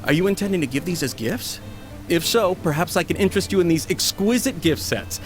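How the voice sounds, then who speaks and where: A man playing an eager, flattering tea merchant in an anime game, full of polished sales patter. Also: high voice, fairly quick. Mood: coaxing, pleased. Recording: faint game music behind.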